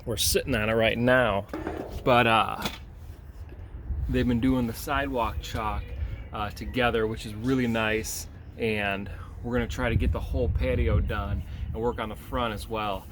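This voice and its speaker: A man's voice: on the low side, steady tone, measured pace. A man talking casually and reading a children's book aloud in an encouraging way, like a teacher, on an outdoor patio. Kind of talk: casual talk and expressive read-aloud. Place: outdoors on a home back patio. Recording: outdoor home recording, faint background noise.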